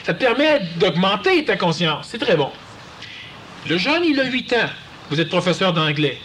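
Québécois male speaker, high and highly expressive, conversational lecture with faint room noise. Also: normal speed. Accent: Quebec French. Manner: animated, acts out quoted voices. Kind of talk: conversational talk to an audience.

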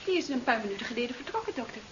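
An actress playing a brisk, prim, deferential hospital nurse in a seventies radio drama. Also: female voice, a little high, big pitch swings. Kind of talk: 1970s Dutch radio drama acting. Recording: muffled, noisy old radio recording.

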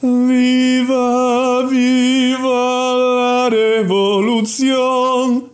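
A man voicing a crude, excitable young male revolutionary in a comic story, swearing and ranting theatrically. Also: fairly high pitch, moderate pace, faint music behind, voice pushed up. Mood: singing out.

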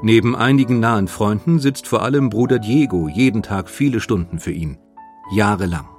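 A man narrating a scripted biographical documentary in a measured manner. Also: on the low side, soft background music.

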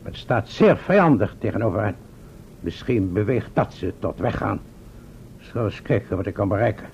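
An actor playing a pompous, wordy town mayor in a radio play, speaking formally. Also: male voice, on the low side, animated, moderate pace, vocal fry, a little mellow. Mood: grumbling. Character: pompous, self-pitying, hesitant town mayor. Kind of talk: old Dutch radio play acting. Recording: muffled, noisy old radio recording.

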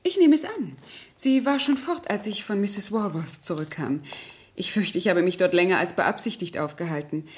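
Actress as a poised woman with lively intonation. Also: lowish voice, moderate pace, close to an everyday voice. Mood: composed, sociable. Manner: polite, composed, domestic small talk. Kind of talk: radio play acting.

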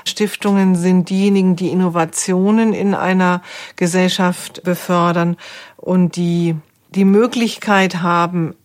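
A woman speaking as a foundation executive in a considered interview, earnest, measured and articulate. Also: on the low side, a little mellow.

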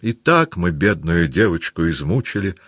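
A man's voice: sits low, big pitch swings, normal speed, fairly bright tone, husky, no room sound, studio quality, full character voice. Man voicing a brusque, commanding older society matron. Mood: brusque, decisive. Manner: emphatic, commanding.

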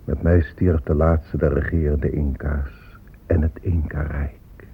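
Very deep-voiced, sorrowful native ruler. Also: male voice, fairly even intonation, slight background noise. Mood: mournful. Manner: solemn, measured delivery. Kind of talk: radio drama monologue. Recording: muffled phone-like old radio recording.

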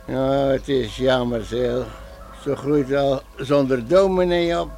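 An actor playing a concerned, earnest village schoolmaster in a Dutch radio play, pleading and regretful. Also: male voice, mid-range voice, steady tone, slow, darkish tone. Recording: noisy old radio play recording.

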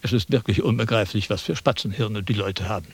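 An actor playing a pompous, self-important ancient Chinese sage in a radio drama, lecturing in a condescending, impatient way. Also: male voice, lowish voice, fairly quick, slightly rough. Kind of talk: theatrical radio drama dialogue.

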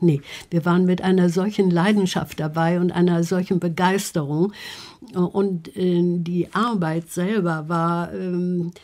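A woman's voice: on the low side, warm tone. An older woman reminiscing conversationally in an interview, with emphatic turns of phrase. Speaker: interview guest, actress.

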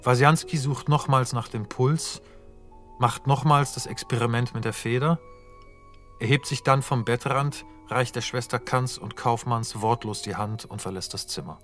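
A man reads the stage directions of a radio play in a calm, measured manner. His pitch is mid-range, and soft music plays faintly behind him. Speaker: narrator. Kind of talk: scripted narration of stage directions.

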